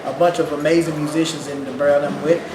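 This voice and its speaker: Ghana-born man with a St. Louis accent, lively interview guest, high-ish voice, echoey noisy room. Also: fast. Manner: animated, laughing, repeats words. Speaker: percussionist guest. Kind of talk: casual interview. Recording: echoey room with loud background noise.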